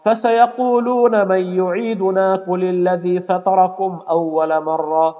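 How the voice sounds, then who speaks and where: Slow chanting man in reverberant space. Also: high-pitched, steady tone. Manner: slow chanted intonation, repeating invocations. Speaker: Quran reciter performing ruqya. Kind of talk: melodic Quran recitation and healing prayer. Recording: dull audio with long reverb.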